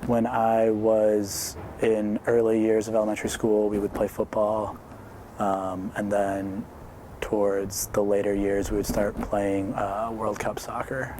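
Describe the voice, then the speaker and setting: A man's voice: lowish voice, monotone, measured pace, warm tone, slight background noise, low-quality recording. A young man from Ohio, a university student, answering in a matter-of-fact way. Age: young adult.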